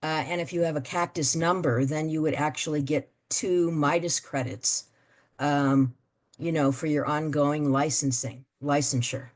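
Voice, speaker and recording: A woman leading an online meeting, explaining things with many fillers and pauses. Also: low voice, steady tone. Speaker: meeting facilitator.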